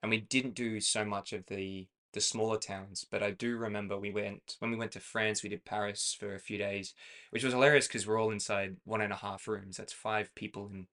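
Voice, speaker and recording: A man's voice: fairly low pitch, little pitch movement, a little fast, fairly bright tone. A young Australian man co-hosting a podcast, talking in a rambling, self-correcting way and laughing easily. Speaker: podcast co-host. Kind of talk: casual chat.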